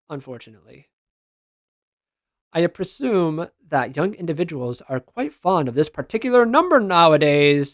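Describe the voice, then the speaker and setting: A man's voice: fairly high pitch, no echo, clean studio sound. A man giving casual, slangy reaction commentary as a gaming content creator, responding aloud to what he reads.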